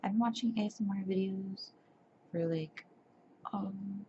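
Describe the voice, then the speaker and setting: A young woman speaking softly for ASMR, tired and sleepy, who stumbles over words and corrects herself as she reads aloud. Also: medium pitch, measured pace. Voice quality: soft, breathy. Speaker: ASMR creator. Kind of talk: soft-spoken ASMR chat and reading aloud. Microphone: webcam. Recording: dull lo-fi webcam audio with faint hiss.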